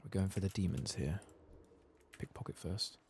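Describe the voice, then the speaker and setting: A man giving soft-spoken, sometimes whispered ASMR game commentary, muttering casually and swearing to himself. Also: low-pitched, moderate pace, slight background noise. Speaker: ASMR gaming streamer.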